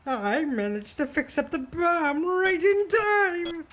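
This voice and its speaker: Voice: extremely high pitch, slow pace. A performer voicing a triumphant, theatrical moth-man wrestler in a cartoon, over faint noise. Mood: scheming, puzzled. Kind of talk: cartoon voice acting.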